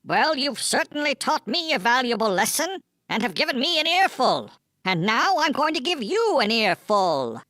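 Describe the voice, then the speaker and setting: A man's voice: extremely high pitch, sing-song, normal speed, pushed and bright, no room sound, pitched above the performer's own voice, exaggerated voice. A man voicing a small, cheeky trickster elf with a sly, taunting manner in an audiobook. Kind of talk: audiobook character acting.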